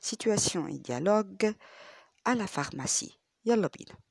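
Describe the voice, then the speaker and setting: A woman with an Egyptian Arabic accent teaching French, explaining quickly and repeating phrases slowly and clearly. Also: mid-range voice, lively intonation, dry recording, clean studio sound. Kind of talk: language lesson, reading dialogues aloud.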